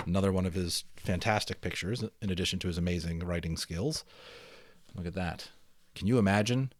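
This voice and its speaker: Animated man reading a storybook aloud. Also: deep voice, no room sound, clean studio sound. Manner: expressive, emphatic, theatrical. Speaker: amateur audiobook reader. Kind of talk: dramatic storybook read-aloud with chatty asides.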